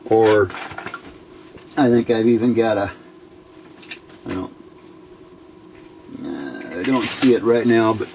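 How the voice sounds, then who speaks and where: A man with a fairly low voice presents an informal hands-on electronics tutorial. He speaks very slowly and haltingly, thinking aloud as he goes. There is some faint noise behind him. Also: low-quality recording. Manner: halting, thinking aloud while handling parts.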